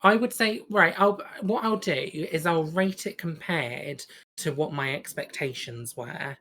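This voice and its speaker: A woman's voice: low voice, unhurried, a bit bright. A woman co-hosting a podcast, chatting casually through a review in a hesitant, giggly way. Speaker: podcast co-host.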